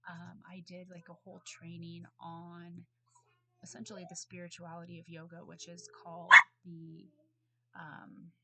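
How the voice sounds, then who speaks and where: A woman in her thirties recording a casual class response at home on her computer, hoarse from a cold, rambling and apologetic with many ums. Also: on the low side, completely flat delivery, unhurried, low-quality recording. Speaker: student. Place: at home on a bed. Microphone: computer mic.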